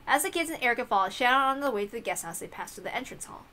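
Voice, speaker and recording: A woman reading a visual novel aloud as expressive story narration. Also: a little high, rapid-fire.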